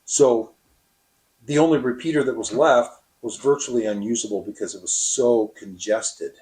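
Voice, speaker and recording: Male interviewee, radio operator recounting field experience; mid-pitched, deliberate, pausing often. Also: darkish tone. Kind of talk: interview explanation and anecdote.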